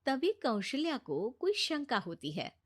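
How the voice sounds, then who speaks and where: A woman's voice: high-pitched, wildly swooping pitch, unhurried. A woman reading scripted narration for a cartoon bedtime story.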